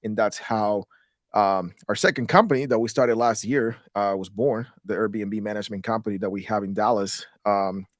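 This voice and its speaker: A man's voice: fairly low pitch, fairly even intonation, moderate pace, dry recording, clean studio sound. A man in his thirties from Guatemala, a guest in a podcast interview, talking in a loose, rambling way with many restarts. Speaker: guest, real estate investor.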